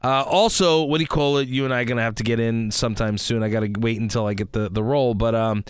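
A man's voice: mid-range voice, steady tone, quick pace, clear, resonant tone. A tired man co-hosting a podcast, chatting casually and rambling with lots of fillers. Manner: rambling, many fillers like "what do you call it". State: tired, loopy. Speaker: podcast co-host, voice actor. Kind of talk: casual chat.

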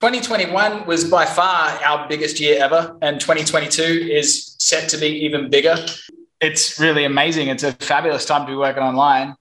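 An Australian man being interviewed over a remote call, with a bright, tense, fairly high voice. He sounds upbeat and promotional as he talks about his online business. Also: steady tone, moderate pace, low-quality recording. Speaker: guest, online marketing entrepreneur. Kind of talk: interview answers.

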